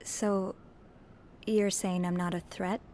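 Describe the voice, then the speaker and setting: Friendly young hotel maid, faint noise. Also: female voice, fairly low pitch, flat delivery, takes it slowly, a little mellow. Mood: puzzled, earnest. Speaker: video game character. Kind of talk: natural conversational game acting.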